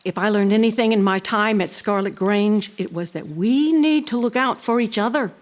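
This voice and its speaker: An actress playing an earnest, proud housekeeper who tells a haunting tale in a deliberate, expressive way. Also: female voice, mid-range voice, close to an everyday voice. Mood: earnest, guarded. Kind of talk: dramatic audio-drama storytelling.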